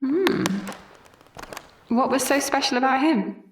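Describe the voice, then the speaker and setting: An actress playing a young, sardonic Victorian nurse with a wry, chatty manner in an audio drama. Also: female voice, sits high, noisy background. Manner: wry, conversational, quick to grumble.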